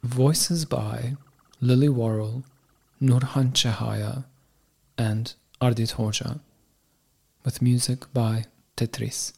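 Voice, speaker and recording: Guy reading out radio play credits, really slow, medium pitch. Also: steady tone, gravelly, a little mellow, slightly rough.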